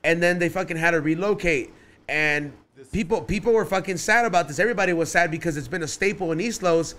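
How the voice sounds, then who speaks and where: A man, hosting a podcast in casual chat, loud and swearing, telling stories on a studio mic. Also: a little high, quick pace. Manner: loud, swearing storyteller who raises his voice for punchlines. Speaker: podcast host. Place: small studio room decorated with neon signs. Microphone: studio mic on boom arm, wearing headphones.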